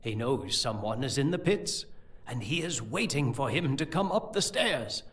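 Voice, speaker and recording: A man playing a learned, scheming sorcerer-priest in a fantasy audio drama, speaking in a deliberate, formal, explanatory way. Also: mid-range voice, sing-song, a bit bright. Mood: calm, ominous.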